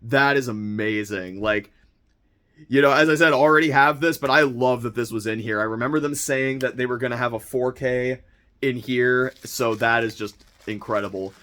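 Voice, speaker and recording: A young man with a slightly hoarse voice, chatting casually and excitedly as he unboxes a monthly subscription package, rambling with lots of fillers and restarts. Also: medium pitch, normal speed. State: slightly hoarse, recovering from illness. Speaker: vlogger unboxing a film subscription box.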